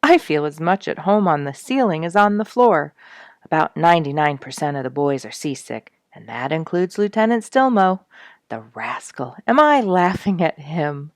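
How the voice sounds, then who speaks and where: A woman's voice: low-pitched, lively intonation, moderate pace, dry recording, clean studio sound. A woman reading aloud as a good-humored male soldier writing home from a ship at sea, in a wry, musing tone.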